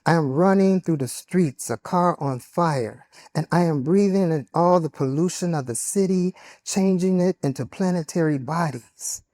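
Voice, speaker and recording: A man reading his own poems aloud at a literary panel, slow and deliberate in his delivery. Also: on the high side, darkish tone. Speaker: poet. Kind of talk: poetry reading.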